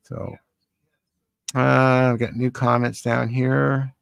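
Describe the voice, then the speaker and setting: An American man in his seventies hosting a casual live stream in a rambling, halting, conversational way, close on a studio microphone. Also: medium pitch, flat delivery, creaky, no echo. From San Francisco, Northern California, USA. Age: 70s. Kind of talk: casual live-stream chat, reading and answering comments. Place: small cluttered home studio indoors. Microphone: close boom-arm studio microphone with headphones.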